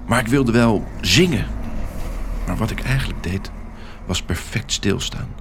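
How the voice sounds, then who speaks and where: Deep-voiced male children's storyteller. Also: a little hiss or hum. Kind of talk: scripted first-person story narration for young children.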